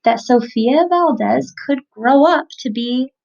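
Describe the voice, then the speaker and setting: A woman reading a picture book aloud expressively and clearly, as a parent coaching a young reader. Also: high voice, expressive, slow pace, a little mellow. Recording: muffled lo-fi home recording.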